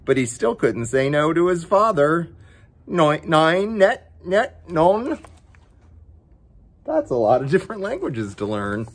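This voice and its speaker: A man with a fairly high, slightly husky voice hosts a children's story time. His pitch swings widely as he reads from a picture book. He breaks off for playful, coaxing asides to his young viewers. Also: sing-song, slow. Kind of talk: animated children's picture book read-aloud with chatty asides.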